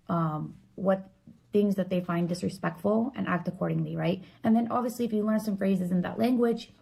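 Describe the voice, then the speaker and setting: A woman giving a casual, explanatory monologue, earnest and opinionated, often halting and leaning on filler words. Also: medium pitch, creaky, dark tone, low-quality recording.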